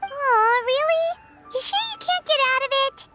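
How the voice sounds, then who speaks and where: A woman voicing a tiny, excitable floating fairy companion in an anime game, squeaky, childlike and exclamatory. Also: moderate pace, full character voice. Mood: puzzled, pleading. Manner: refers to self in third person, exclamatory. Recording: loud music behind the voice.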